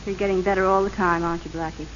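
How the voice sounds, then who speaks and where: An actress playing a gambler's worried, reproachful sweetheart in an old radio drama, pleading and sardonic. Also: female voice, fairly low pitch, steady tone, rapid-fire, close to an everyday voice. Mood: bitter, sardonic. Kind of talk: 1930s-40s radio drama acting. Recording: muffled old radio, faint hiss.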